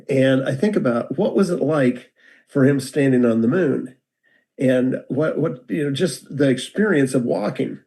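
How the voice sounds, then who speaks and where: Older American man, mellow low-key tone, warm self-deprecating storyteller on a video call. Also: gravelly. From United States. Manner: relaxed, rambling, self-deprecating with many restarts. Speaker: guest speaker.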